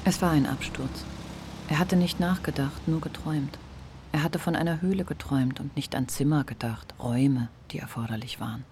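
An actor playing a male literary narrator in a radio drama, reading scripted prose in a measured, contemplative way. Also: a little high, steady tone, a little mellow, a little hiss or hum, natural voice. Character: reflective literary narrator of a novel. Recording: music bed behind narration.

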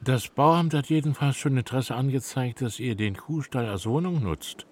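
An actor playing a sly, mischievous older village neighbour in a radio play, teasing with feigned innocence. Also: male voice, medium pitch, moderate pace, a little hiss or hum, natural voice. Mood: smug, needling.

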